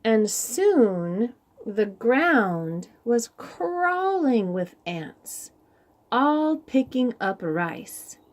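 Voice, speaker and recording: A woman reading a storybook aloud for young children in an animated, deliberate storytelling style. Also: a little high. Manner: animated, deliberate, with sound words.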